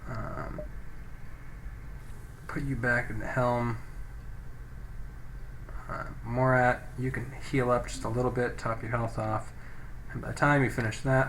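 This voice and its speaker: A man's voice: medium pitch, a little hiss or hum. A man giving casual let's play commentary, thinking aloud and reading game text, on a poor-quality microphone. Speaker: gaming let's play host. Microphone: low-quality microphone.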